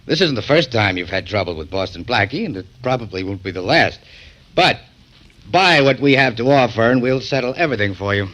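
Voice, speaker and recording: A man's voice: mid-range voice, animated, fairly quick, a bit bright. An actor playing an oily, ingratiating salesman for a murder firm in 1940s radio crime drama style. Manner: ingratiating, hesitant polite sales patter.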